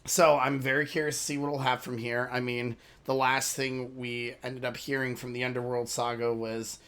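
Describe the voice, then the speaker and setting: A man hosting a reaction channel, talking casually to his viewers with a hoarse voice, rambling and apologizing as he loses his train of thought. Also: mid-range voice, little pitch movement, moderate pace, a bit bright. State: hoarse from a lost voice.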